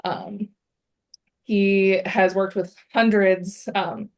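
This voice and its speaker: A woman introducing herself on a video call, relaxed and hesitant with many fillers. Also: medium pitch, very slow. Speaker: illustrator and designer, co-host. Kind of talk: casual self-introduction.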